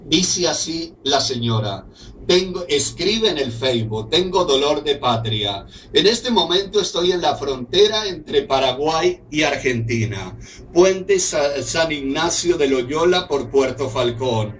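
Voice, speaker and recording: A man's voice: on the high side, expressive, slow, fairly bright tone, recorded from a distance, slight background noise, low-quality recording. A middle-aged man from Colombia hosting a radio talk show, reading a letter aloud in an earnest, indignant tone from a small office studio. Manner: earnest, indignant, speaks as a compatriot. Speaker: radio host.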